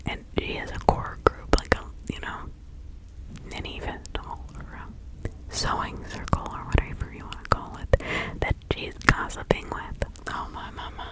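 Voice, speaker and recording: A woman playing a reclusive, gossipy village witch of the 1600s in a confiding, rambling ASMR roleplay, all in a breathy whisper. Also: normal speed, lightly acted, poor audio. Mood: musing. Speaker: ASMR performer.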